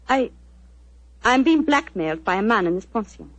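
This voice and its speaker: A woman's voice: a little high, expressive, moderate pace, a bit bright, dry recording. An actress playing a proud, curt woman concert pianist with a German accent, speaking formally in 1940s radio drama style. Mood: troubled. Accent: German-speaking Central European. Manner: formal, stiff. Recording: muffled 1940s radio recording.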